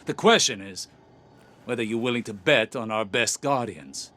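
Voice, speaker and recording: An actor playing a shrewd, pragmatic war adviser in a video game, speaking deliberately and persuasively. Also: male voice, fairly low pitch, animated, lightly acted. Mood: calculating. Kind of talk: scripted video game dialogue. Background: music.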